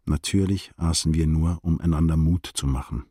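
A deep, husky narrator of a literary radio play. He recounts a sad night soberly and precisely, with measured restraint. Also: warm tone, no echo, studio quality. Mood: reflective.

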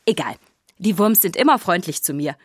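An easygoing castle maid tells her story. Her voice is a little low and very dry, close and confiding, in conversational children's audiobook narration. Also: expressive, moderate pace, breathy edge, dry recording. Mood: chatty. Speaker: first-person narrator.

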